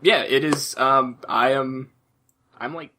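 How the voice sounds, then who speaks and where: A man chatting casually about video games as a podcast co-host, haltingly, often repeating and restarting his phrases. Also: medium pitch, fairly even intonation, bright, forceful tone, a little hiss or hum.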